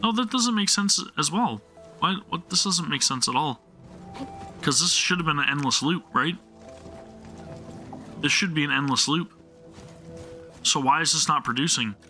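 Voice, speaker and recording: Bright-voiced man live-streaming a game. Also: on the high side, animated, normal speed, pauses often, soft background music. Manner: puzzled self-questioning, talking to viewers. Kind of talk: casual game stream commentary, thinking aloud.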